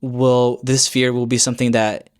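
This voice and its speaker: A man's voice: mid-range voice, flat delivery, moderate pace. A young man speaking English as his second language, giving earnest, encouraging advice in a casual vlog and often searching for words and restarting phrases. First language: not English. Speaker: YouTuber.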